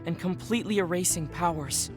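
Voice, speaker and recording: Guy doing a quiet formal young soldier, careful and worried, game cutscene with music under it. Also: high voice, a little fast, natural voice. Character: reserved young male soldier hiding a secret. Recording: game audio with music behind.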